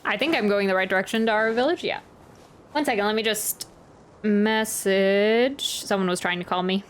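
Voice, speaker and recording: A woman's voice: mid-range voice, normal speed, slight background noise. A woman game streamer giving casual reaction commentary as she plays. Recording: game music under the voice. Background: video game music.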